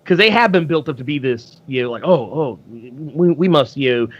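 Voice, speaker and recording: A man's voice: mid-range voice, expressive, normal speed, dull audio. A man chatting casually as a podcast guest, hesitant and thinking aloud with frequent restarts. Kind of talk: casual live comic book discussion.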